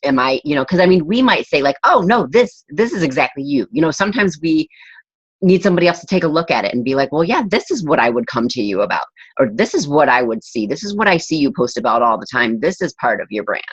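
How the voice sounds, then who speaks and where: A woman's voice: low-pitched, big pitch swings, fast, breathy edge, no room sound. A woman leading her team on a group video call, upbeat and emphatic as she encourages everyone. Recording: dull video call audio.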